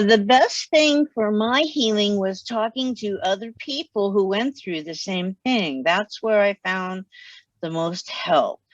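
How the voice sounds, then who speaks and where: An older woman, a guest on a video call from her home study, speaking gently and plainly about hard memories. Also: mid-range voice, dry recording. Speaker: guest author. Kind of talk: interview over video call. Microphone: video call, headset. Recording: dull, dry video call audio.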